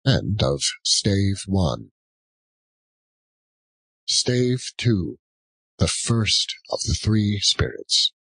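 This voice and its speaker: A man's voice: on the low side, big pitch swings, drawn-out words, vocal fry, pauses often, a bit bright, low-quality recording. A man narrating a classic story as a dramatic audiobook reading.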